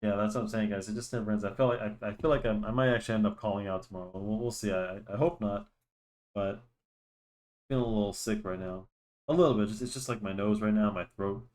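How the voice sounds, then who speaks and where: Young male drawing host, low-pitched, rambling casual chat, nasal with a cold. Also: fast talker, a little mellow. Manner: rambling, lots of restarts and fillers. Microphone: desk microphone.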